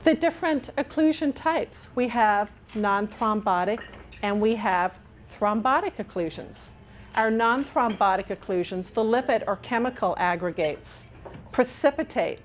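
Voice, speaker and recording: A woman's voice: mid-range voice, slight background noise. A middle-aged woman from England, with no trace of an accent, giving a nurse education talk in a dining room, upbeat and chatty and often asking the audience to agree. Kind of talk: conference presentation. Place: dining room with large windows.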